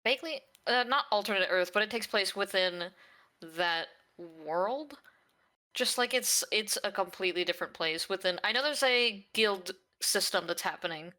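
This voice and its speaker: A woman's voice: medium pitch, normal speed, pushed and bright. A woman chatting casually as a guest player on a game session. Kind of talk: casual chat.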